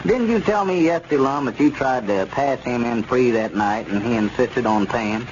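An actor playing a sensible, cautious small-town friend in a 1930s radio comedy serial, reasoning in a plain-spoken, folksy way. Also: male voice, mid-range voice, moderate pace, close to an everyday voice. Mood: suspicious. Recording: noisy, muffled 1930s radio recording.

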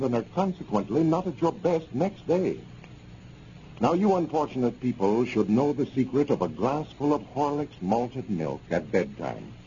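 A man's voice: medium pitch, mellow. A man with an American accent delivering scripted narration as a 1930s radio announcer. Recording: muffled, noisy 1930s radio recording.

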